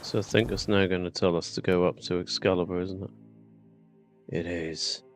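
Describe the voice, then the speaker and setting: A man with a low voice commentates casually on a video game. He chats and thinks aloud as he plays, with faint game music behind him. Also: fairly even intonation, moderate pace. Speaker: gaming YouTuber.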